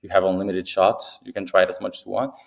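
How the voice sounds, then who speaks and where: A man's voice: low-pitched, little pitch movement, fast, gravelly, background noise. A young man from Mexico, a native Spanish speaker with a Mexican accent, casually explaining freelancing to camera, rambling and repeating himself with many fillers. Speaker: freelancing coach. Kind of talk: casual tutorial talk to camera. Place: abroad in Bali.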